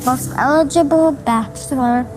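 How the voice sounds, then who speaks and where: A woman acts out a small, precocious boy with a high, childlike voice. He is curious and innocently blunt, in an audio drama style. Music plays clearly behind him. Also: unhurried, darkish tone, poor audio. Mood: curious, cheeky. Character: curious, chatty, sick little boy, sweetly precocious.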